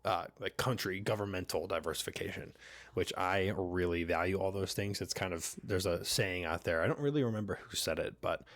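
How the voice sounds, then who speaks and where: A man with a cold and a nasal voice, hosting a finance channel in a relaxed, chatty way with many fillers. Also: deep voice, brisk, no echo. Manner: relaxed, filler-heavy, conversational. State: congested with a cold. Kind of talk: casual talking-head explainer.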